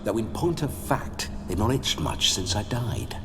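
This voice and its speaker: A man's voice: lowish voice, big pitch swings, measured pace. An actor playing a roguish, bawdy ghost of a dead nobleman in a video game, with theatrical, swaggering, old-fashioned speech. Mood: wry, boastful. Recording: long reverb, music behind.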